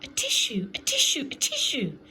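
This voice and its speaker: A woman's voice: high-pitched, huge pitch swings, moderate pace, sharp tone, in a whisper, voice pushed up, exaggerated voice, poor audio. Woman voicing a plaintive, puzzled little storybook fellow. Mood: sneezy, pleading. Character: mild, puzzled, sneezy little storybook man. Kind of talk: storybook character voice.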